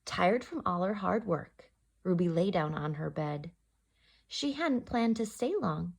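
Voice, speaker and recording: A woman reads a picture book aloud for young children as their storyteller. Her delivery is slow and very animated in pitch, with some creak in the voice. She speaks directly to her little listeners. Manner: expressive, addresses young listeners with questions. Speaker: storyteller, host.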